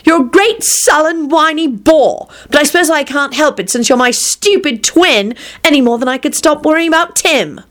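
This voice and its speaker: A woman's voice: a little high, big pitch swings, moderate pace, hardly any pauses, fairly bright tone, voice pushed up. A woman voicing an exasperated, bossy young woman who scolds her twin brother in an emphatic, snapping way. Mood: grudging, insulting.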